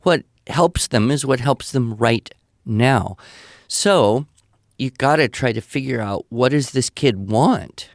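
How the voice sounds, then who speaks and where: A man answers questions in a conversational, explanatory way, with a medium-pitched voice. He leans on key phrases for emphasis as he gives advice. The sound is dry, with no room reflections. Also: animated, takes it slowly, gravelly, dry recording. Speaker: podcast guest answering listener questions.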